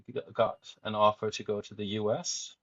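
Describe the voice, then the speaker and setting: A man in his forties from Denmark, a podcast guest telling his life story in a relaxed, meandering way. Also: fairly low pitch, a little fast, no room sound, dull audio. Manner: frequent fillers and restarts, easygoing.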